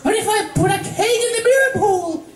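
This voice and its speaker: Man doing a squeaky, giddy prankster girl pony. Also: fairly quick, roomy sound. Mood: mischievous. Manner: playful, demanding, excitable. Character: giddy, cake-obsessed prankster female pony, bubbly and childlike.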